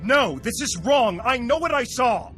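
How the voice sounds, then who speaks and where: A man's voice: very high voice, expressive, unhurried, fairly bright tone, faint music behind, exaggerated voice. Intense, accusing Jedi man. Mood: urgent, agitated. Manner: earnest, intense, dramatic. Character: agitated, accusing Jedi haunted by a vision. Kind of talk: cinematic video game acting.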